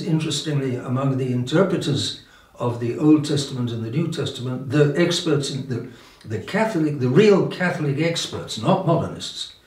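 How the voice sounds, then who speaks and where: An elderly man, a bishop preaching an informal sermon in a halting way, repeating and restarting his phrases with emphatic pauses. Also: medium pitch. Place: indoor room with religious paintings. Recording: roomy echo, distant microphone.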